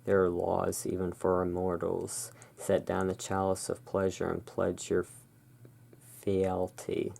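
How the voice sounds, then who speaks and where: A person playing the soft-spoken, musing owner of a gothic curiosity emporium in a halting, hushed ASMR roleplay. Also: sits low, monotone, gravelly, heavily acted. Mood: solemn reading.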